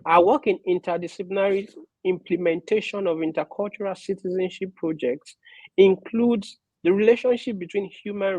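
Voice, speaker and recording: Woman host reading a speaker bio, breathy voice, dry close sound, formal and measured. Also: lowish voice, a little mellow, dry recording, poor audio. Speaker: conference host introducing the speaker. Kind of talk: formal read introduction.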